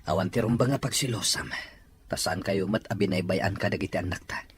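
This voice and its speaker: A man's voice: sits low, fairly quick, husky. A man playing a hardworking, exasperated husband and father in an audio drama, sounding weary and defensive. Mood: calmer. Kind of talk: amateur audio drama dialogue.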